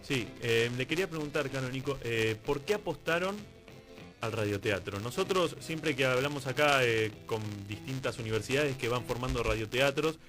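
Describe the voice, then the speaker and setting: Argentine male radio interviewer, medium pitch. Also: normal speed, a bit bright, faint music behind, poor audio.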